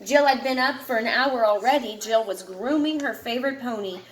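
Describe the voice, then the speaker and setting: Woman, fairly high voice, expressive storybook read-aloud, roomy echo. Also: moderate pace, a little hiss or hum. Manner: expressive, puts feeling into the dialogue. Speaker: reader of a children's picture book.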